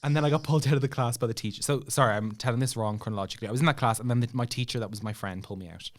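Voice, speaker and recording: A man's voice: mid-range voice, very fast. A young man with an Irish accent telling a personal story in casual chat, acting out bits of dialogue as he goes. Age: young adult. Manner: animated retelling with acted-out dialogue and laughing asides. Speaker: podcast guest telling a story.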